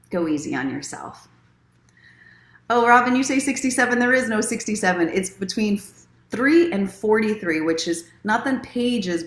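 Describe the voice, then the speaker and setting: A woman's voice: fairly high pitch, echoey room. A woman hosting an online story time, reading aloud expressively and chatting casually in an encouraging, conversational self-help tone. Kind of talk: expressive reading aloud from own book, mixed with casual livestream chat.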